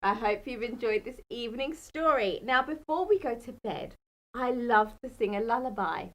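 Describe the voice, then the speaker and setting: A woman's voice: a little high, lively intonation, normal speed. A woman telling a children's bedtime Bible story in a dramatic, emphatic manner. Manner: dramatic, emphatic, asks the listeners questions.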